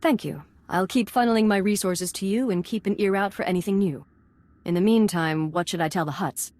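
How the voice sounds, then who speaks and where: A woman's voice: mid-range voice, a little fast, darkish tone, dry recording, lightly acted. Woman doing a cynical lady exec in a game, clipped and sardonic, close dry studio sound. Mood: bargaining. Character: brash, cynical corporate boss bargaining for survival. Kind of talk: video game dialogue acting.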